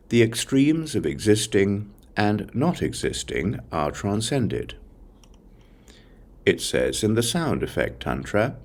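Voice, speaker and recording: A man reading a Buddhist scripture as an audiobook, reciting in measured, solemn short phrases. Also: sits low, darkish tone. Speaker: audiobook reader.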